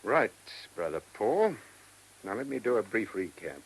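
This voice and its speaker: An actor with a British accent playing a brisk, probing chief inspector with a husky voice in a radio play. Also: male voice, fairly low pitch, very animated, exaggerated voice, poor audio. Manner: questioning, authoritative. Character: chief police inspector, brisk, married, courteous but probing. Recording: old radio drama recording.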